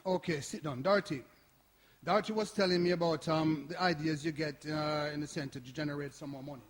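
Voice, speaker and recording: A man's voice: on the high side, fairly even intonation, brisk, natural voice. An actor playing a frustrated, persistent older man at a community centre in a radio play. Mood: businesslike. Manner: sighing, challenging, insistent. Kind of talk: radio drama acting before an audience. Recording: reverberant hall recording.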